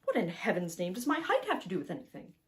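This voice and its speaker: A woman's voice: mid-range voice, huge pitch swings, fast talker. A woman reading a storybook, voicing a dignified, opinionated, precocious boy with a formal, pompous manner. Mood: curious, affronted.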